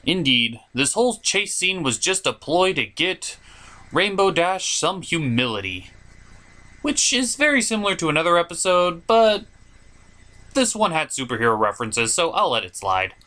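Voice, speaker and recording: Man, bright forceful voice, fairly high, theatrical, sardonic, comedic review host. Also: sing-song, normal speed. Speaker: host of a cartoon review show. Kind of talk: comedic review commentary with puppet banter.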